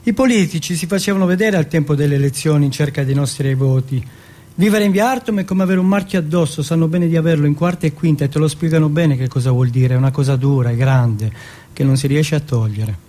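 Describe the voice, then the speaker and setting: Guy from turin doing a stage monologue, kinda high but soft mellow voice, tells it phrase by phrase. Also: fast. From Turin, Italy. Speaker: monologue performer. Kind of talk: theatrical autobiographical monologue, narrative storytelling.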